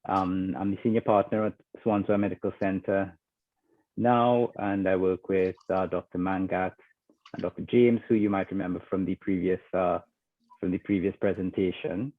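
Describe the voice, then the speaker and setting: A man speaks as a family doctor on a panel, relaxed and full of small fillers. His slightly low voice comes through a thin, phone-like line with no room sound at all. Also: moderate pace, no room sound. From Trinidad and Tobago. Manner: conversational, with frequent fillers. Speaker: general practitioner. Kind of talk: online panel discussion. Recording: thin phone-like audio, dry.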